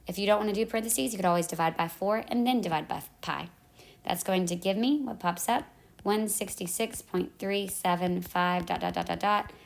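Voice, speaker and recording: Hoarse, gravelly woman teaching math. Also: mid-range voice, fairly quick. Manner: talks through calculator steps, self-correcting. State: losing her voice. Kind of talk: step-by-step math tutorial. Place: at home in the evening.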